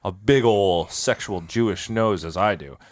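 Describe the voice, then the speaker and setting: A man's voice: on the low side, measured pace. A man hosting a podcast in casual, joking banter, with a congested, nasal voice. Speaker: podcast host.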